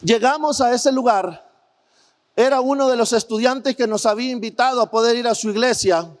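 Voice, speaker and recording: A man's voice: extremely high pitch, normal speed. A man preaching a sermon as a missionary, telling testimony earnestly and putting rhetorical questions to the congregation. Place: large church hall, preaching to a congregation. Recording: church hall with long reverb.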